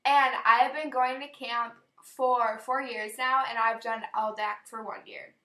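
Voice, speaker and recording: High, bright teen girl in an echoey room. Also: fairly even intonation, measured pace. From United States. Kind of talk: casual self-introduction.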